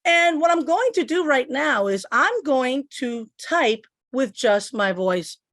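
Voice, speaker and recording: A woman's voice: high voice, animated, slow pace, fairly bright tone, no room sound, studio quality. A middle-aged woman from Puerto Rico giving a step-by-step tech demo at her computer, explaining each click as she goes. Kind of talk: instructional screen demo. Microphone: computer microphone.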